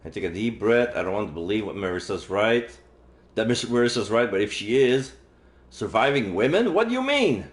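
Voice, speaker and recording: A man's voice: fairly low pitch, sing-song, a little fast. A man livestreaming a visual novel, reading the text aloud casually with jokey, muttered asides. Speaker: streamer playing a visual novel. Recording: roomy sound, faint game music. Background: faint game music.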